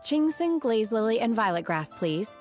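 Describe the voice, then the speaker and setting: A woman's voice: fairly high pitch, very animated, normal speed, darkish tone, soft background music. A woman playing an aloof, blunt young woman from the mountains, with a formal, stoic manner, in an anime video game. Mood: detached.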